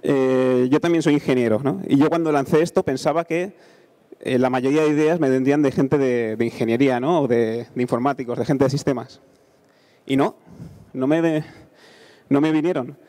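A man's voice: medium pitch, normal speed, warm tone. A man in his thirties with an accent from Spain gives an informal, conversational conference presentation into a handheld microphone on stage. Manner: conversational, frequent check-in tags. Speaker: conference speaker, engineer presenting a startup accelerator.

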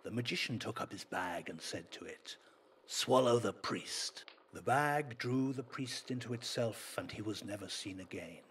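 A man reading a folk tale as a storyteller, in a dramatic, deliberate manner. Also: fairly low pitch, expressive, a bit bright, a little hiss or hum.

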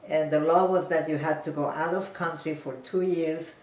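A woman in her nineties from Iran, calmly telling her life story in a seated interview on a living room sofa. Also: low-pitched. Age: 90s. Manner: measured, plain storytelling, seated and still. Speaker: interviewee, physician. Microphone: camera at a distance. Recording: roomy, distant home recording.

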